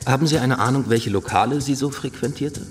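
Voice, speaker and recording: A man's voice: mid-range voice, fairly quick, close to an everyday voice. A man playing a methodical, polite police investigator in a radio crime drama, formal and inquiring. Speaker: actor. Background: music.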